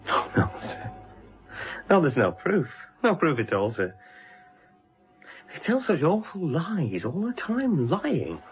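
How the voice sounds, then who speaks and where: An unhinged young British policeman in a radio thriller, menacing and self-justifying. His higher-set voice lurches wildly up and down, on a dull, lo-fi recording with faint music. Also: huge pitch swings, slow pace, long pauses, full character voice. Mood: bitter, manic. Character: young policeman turned unhinged, woman-hating killer. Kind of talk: British radio drama acting.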